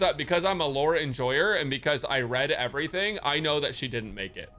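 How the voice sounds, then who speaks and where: A young man gives casual live game commentary. His voice is bright, fairly high and very animated, full of swearing and banter over game music. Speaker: streamer reacting to a game.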